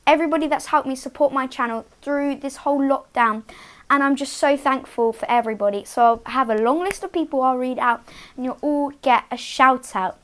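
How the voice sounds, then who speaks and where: A woman hosting storytime, speaking to young children in an expressive read-aloud style with dramatic emphasis on key words. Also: high-pitched, brisk. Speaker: children's storytime host and reader.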